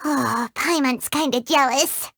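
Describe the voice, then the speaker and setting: A woman's voice: high-pitched, takes it slowly, creaky, fairly bright tone, full character voice. A woman voicing a tiny, greedy, chatty fairy companion who speaks of herself in the third person, in studio game voice acting. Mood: excited. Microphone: studio mic.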